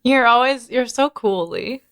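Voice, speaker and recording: A woman hosts a casual podcast with a crisp, bright voice that sits a little high. She talks informally and with enthusiasm, joking as she goes. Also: expressive, measured pace. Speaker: podcast co-host.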